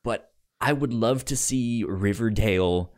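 A man co-hosting a podcast, chatting casually about TV shows in a halting way, often repeating the starts of words. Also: on the low side, a little mellow. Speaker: podcast co-host.

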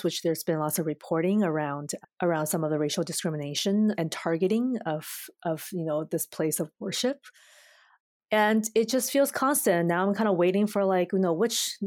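A woman from China co-hosts a relaxed podcast chat. Her voice sits fairly low, and she talks thoughtfully with many small restarts. The recording is dry and close. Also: dry recording. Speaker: podcast co-host. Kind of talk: casual conversational podcast.

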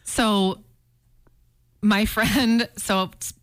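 Clear-voiced middle-aged woman chatting. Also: mid-range voice, steady tone, very slow. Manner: gossipy storytelling with emphatic exaggeration. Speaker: radio talk show regular. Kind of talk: casual, humorous chat.

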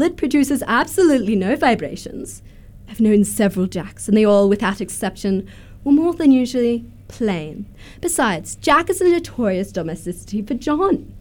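A high, animated voice for a romantic young Victorian society lady , acted by a woman. She is affected, emphatic and very sure of herself, in the manner of a radio stage comedy. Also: normal speed. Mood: gushing, self-assured.

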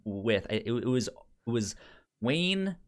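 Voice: mid-range voice, big pitch swings, slow. Hesitant, stammering podcast host. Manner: hesitant, stammering with repeated sounds. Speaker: film discussion podcast host. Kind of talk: casual film discussion.

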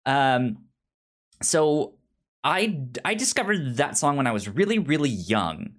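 Stuttering man in halting casual chat. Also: on the high side, sing-song. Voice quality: frequent stutter, repeating sounds and words. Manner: halting, rambling delivery. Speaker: podcast co-host.

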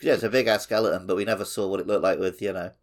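A man chatting casually as a podcast co-host, joking and getting animated in an informal way. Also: on the low side, rapid-fire. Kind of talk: casual chat.

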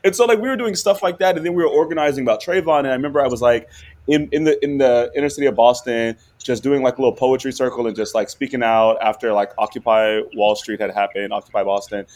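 A law professor guest talking casually and animatedly in an interview, often laughing and checking in with "right?". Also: male voice, mid-range voice, quick pace, darkish tone.

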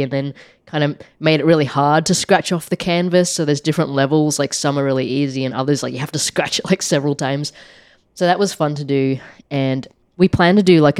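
A woman with a croaky voice from being sick chats loosely and fills her sentences with "like". The sound is dry and close, with no room around it. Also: a bass voice, darkish tone, no room sound. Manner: chatty, many "like" fillers, laughs easily. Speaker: podcast co-host, app maker. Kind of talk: casual chat.

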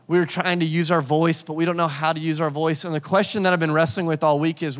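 A man, the church's pastor, speaking conversationally from the stage and introducing a guest. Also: a little high. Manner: conversational, warm pastoral delivery with restarts. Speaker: lead pastor. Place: church sanctuary. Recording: long church hall reverb.

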